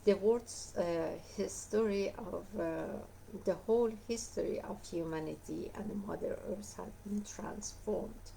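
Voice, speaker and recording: A woman with a hoarse voice reads a nonfiction book aloud, pausing often on "uh" and going back to fix her words. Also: medium pitch, warm tone, a little hiss or hum, poor audio. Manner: halting reading with frequent uh fillers and self-corrections. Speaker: book reader.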